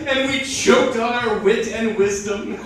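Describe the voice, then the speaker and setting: A man's voice: extremely high pitch, unhurried. A man performing a comic, theatrical live reading with mocking, incredulous asides, recorded indoors at an event. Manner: breaks off into incredulous, sweary asides. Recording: echoey, noisy live room, far from mic. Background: audience crowd noise.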